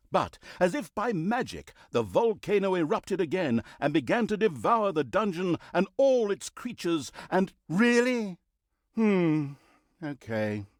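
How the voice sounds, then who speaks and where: Sardonic fantasy game narrator, hugely animated. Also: male voice, on the high side, no echo. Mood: snide, exasperated. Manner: pompous, dry, sarcastic, exaggerated storytelling delivery. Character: sardonic male omniscient narrator of an evil overlord fantasy game. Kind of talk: theatrical, mock-epic storybook narration with snide asides.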